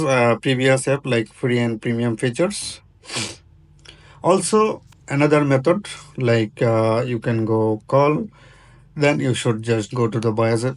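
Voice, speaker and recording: Male tech tutorial presenter, mid-pitched, unhurried pace, halting non-native English, informal how-to delivery. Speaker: tutorial host.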